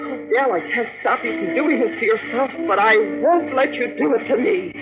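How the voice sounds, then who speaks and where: An actress playing a weary, middle-aged wife in a 1950s radio melodrama, pleading and emphatic. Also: female voice, a little high, animated, normal speed. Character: weary, fed-up, still-loving wife of a workaholic. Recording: tinny old radio broadcast, music behind.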